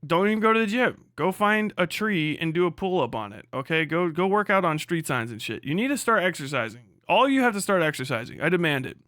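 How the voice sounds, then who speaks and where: A man's voice: high-pitched, animated, a little fast, hardly any pauses, a bit bright, no echo, clean studio sound. A man on a casual livestream rant, blunt and hectoring, swearing heavily as he speaks. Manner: mocks others in put-on voices. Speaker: livestream host.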